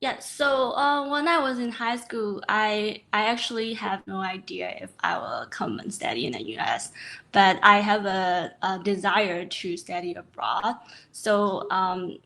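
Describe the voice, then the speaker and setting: A young woman from Myanmar, a scientist answering interview questions in a webinar, pausing often with fillers. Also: mid-range voice, creaky, bright, forceful tone. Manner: hesitant, many fillers. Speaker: guest scientist.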